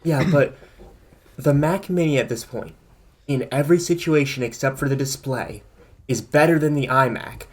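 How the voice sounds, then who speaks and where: A man's voice: mid-range voice, normal speed. A man co-hosting a casual tech podcast, opinionated and rambling as he thinks aloud. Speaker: podcast co-host.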